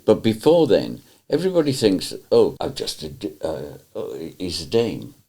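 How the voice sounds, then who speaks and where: Older man, animated storyteller. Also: low voice, normal speed, breathy edge. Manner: animated storytelling, mock-indignant protests. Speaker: pantomime dame performer. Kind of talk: reminiscing interview.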